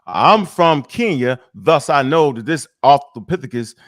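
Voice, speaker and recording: A man's voice: medium pitch, lively intonation, normal speed, a bit bright. A man hosting a casual talk show. He rants hard, swears a lot and trips over many restarts as he goes. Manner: fiery, profane, rambling with many restarts. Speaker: show host.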